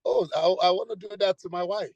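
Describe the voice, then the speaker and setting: A man's voice: high voice, brisk, gravelly, dry recording, dull audio. Hesitant man in a dry interview. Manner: hesitant, repeats words. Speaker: interview guest. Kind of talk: casual interview talk.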